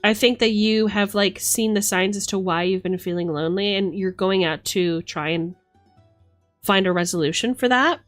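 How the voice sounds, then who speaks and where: A woman's voice: mid-range voice, moderate pace. A woman giving a casual, chatty tarot reading on a live stream, musing aloud in informal language. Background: background music.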